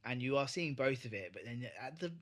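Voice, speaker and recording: A man's voice: mid-range voice, animated, brisk, fairly bright tone. A man co-hosting a podcast in casual chat, sweary and emphatic, repeating himself for emphasis. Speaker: podcast co-host.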